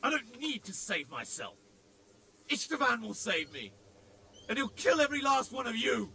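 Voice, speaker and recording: A man's voice: very high voice, big pitch swings, moderate pace, creaky, bright, forceful tone, slight background noise, exaggerated voice. An actor playing a defiant, intense young bandit held captive, fiercely loyal to his lord, in a video game. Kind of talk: dramatic video game acting.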